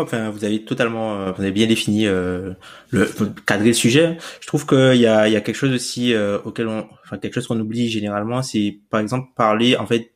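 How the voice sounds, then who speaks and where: A man in a casual sports discussion, talking hesitantly and restarting his sentences. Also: medium pitch. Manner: hesitant, repeats words. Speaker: podcast co-host.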